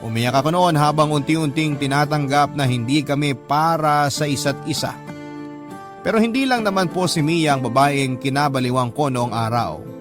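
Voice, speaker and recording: Casting: male reader as sincere, lovelorn Filipino letter-sender, slightly high voice, dramatic radio narration over clear background music. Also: normal speed, close to an everyday voice. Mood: wistful, confessional. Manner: polite, confessional. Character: lovelorn man recounting his heartbreak, humble and sincere.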